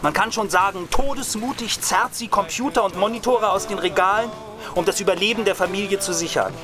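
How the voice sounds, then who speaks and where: A man's voice: high-pitched, fairly quick, over music, lightly acted. A man acting in a German radio drama as a cynical, hot-tempered radio reporter in his thirties, pompous and given to coarse cursing. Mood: melodramatic reporting. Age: 30s.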